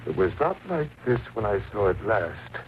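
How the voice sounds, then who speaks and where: Haunted mysterious male visitor, lowish voice, theatrical and grave, vintage radio horror, faint noise. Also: very animated, normal speed. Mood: ominous, questioning. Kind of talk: old-time radio horror drama acting. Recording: muffled old radio recording, faint noise.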